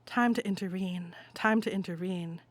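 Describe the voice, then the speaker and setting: A playwright voicing a gentle, resolute figure from the afterlife in a solemn, deliberate audio play monologue. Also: medium pitch, no echo, natural voice. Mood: tender, worried. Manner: solemn, deliberate, incantatory address. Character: Francis, gentle resolute spirit-visitor from the afterlife, pleading.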